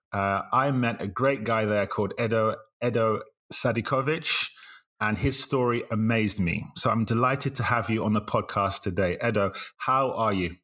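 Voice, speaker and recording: A man's voice: medium pitch, a bit bright. A man hosting a podcast interview who chats in a friendly way and uses fillers as he talks. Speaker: podcast host.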